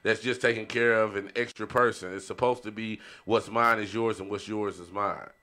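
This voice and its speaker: A man's voice: fairly low pitch, fairly even intonation, normal speed, sharp tone. A man talking casually as a panelist in a podcast discussion.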